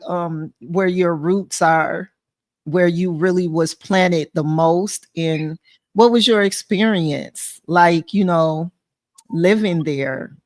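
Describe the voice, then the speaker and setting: A woman with an American accent hosting a casual interview, chatty and informal with a joking touch. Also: on the low side. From Flint, Michigan, USA. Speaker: show host, interviewer.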